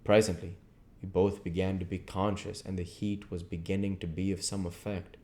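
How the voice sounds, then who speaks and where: A man with a low-pitched voice reads a classic novel aloud. His delivery is measured and earnest, like an amateur audiobook narrator working carefully through formal prose. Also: level tone, warm tone. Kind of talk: literary narration read aloud.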